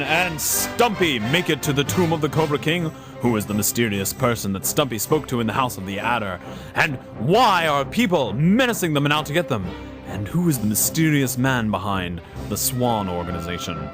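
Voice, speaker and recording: A man narrating an adventure serial in a suspenseful, theatrical style. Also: fairly high pitch.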